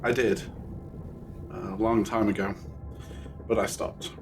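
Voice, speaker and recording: A man's voice: on the low side, flat delivery, slow pace, gravelly. A man playing an eccentric, whimsical time-travelling adventurer in an audio drama, musing and a little self-satisfied, over noise. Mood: wistful. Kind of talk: scripted audio drama acting.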